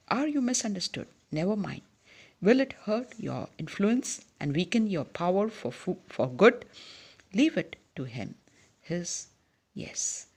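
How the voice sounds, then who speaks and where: A preacher speaking delivers an emphatic devotional sermon. The voice is gravelly and husky, and a steady "yes" marks the breaks between phrases. Quiet asides alternate with sudden loud emphasis. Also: medium pitch.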